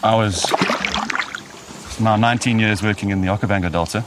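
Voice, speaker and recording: A middle-aged man with a South African accent, telling his own story reflectively, pausing for emphasis. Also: on the low side, steady tone, very noisy. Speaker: conservationist and researcher, interviewee. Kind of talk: personal storytelling in an interview.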